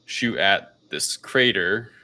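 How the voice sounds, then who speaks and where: A man's voice: low-pitched, expressive, slow, bright, forceful tone. A man hosting a podcast, casually recapping and reviewing a film in informal, colloquial language. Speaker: podcast host.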